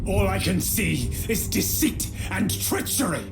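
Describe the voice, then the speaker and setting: A man's voice: sits high, big pitch swings, unhurried, creaky, exaggerated voice. A man playing an earnest, solemn medieval knight leader in a scripted video game. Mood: indignant. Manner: grave, solemn, dramatic. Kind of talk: scripted game narration and cutscene acting. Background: dramatic music.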